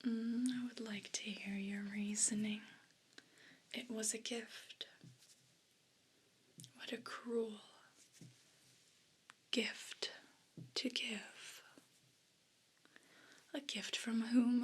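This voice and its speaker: A woman voicing a haughty, offended evil pet cat in an ASMR role play, speaking in a breathy whisper with a pompous, formal, deliberate manner. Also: fairly high pitch, little pitch movement, exaggerated voice. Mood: indignant, suspicious.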